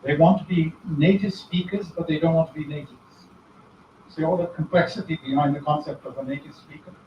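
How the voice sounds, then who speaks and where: A man giving an academic talk, speaking reflectively and with irony, pausing often and restarting phrases. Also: on the high side, steady tone, a little hiss or hum. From former British colony. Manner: reflective, ironic, many pauses and restarts. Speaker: guest lecturer. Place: lecture hall. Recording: echoey hall, distant mic, dull.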